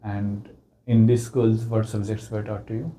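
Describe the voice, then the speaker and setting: Low, dark-voiced male interviewer. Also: little pitch movement, normal speed. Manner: short prompts and backchannels. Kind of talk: casual interview questions.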